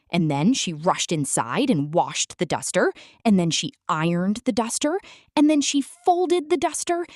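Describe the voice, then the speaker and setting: A woman reads a children's storybook aloud. Her delivery is theatrical, with wide swings in pitch at a middling register. The sound is dry and close. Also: a little mellow, no room sound. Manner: theatrical, addressing the listener with questions.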